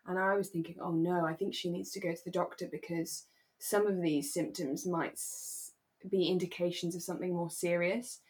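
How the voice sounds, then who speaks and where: A young British woman co-hosting a podcast in relaxed casual discussion, thinking aloud and qualifying what she says as she goes. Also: fairly low pitch, moderate pace. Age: young adult. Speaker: podcast co-host.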